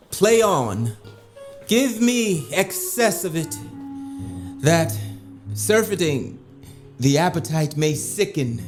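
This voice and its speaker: An actor playing a lovesick, grandiose duke in a classical audio drama, with languid declamatory verse. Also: male voice, a little high. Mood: languid, yearning. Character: lovesick, grandiose, self-indulgent male duke.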